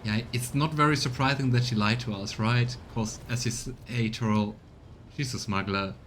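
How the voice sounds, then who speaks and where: A man's voice: mid-range voice, fairly even intonation, normal speed, fairly bright tone, slight background noise. A man giving casual commentary as a game streamer during a let's play. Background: music.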